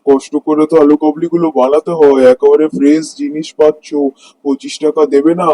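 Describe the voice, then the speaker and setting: A man plays a crafty, greedy street food seller from a cartoon morality tale. His voice sits fairly high for a man, with a stubborn, defensive hawker's patter. The recording is close and dry. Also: level tone, brisk, mellow, no echo, lightly acted. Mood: hawking, coaxing. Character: greedy, dishonest male street snack vendor.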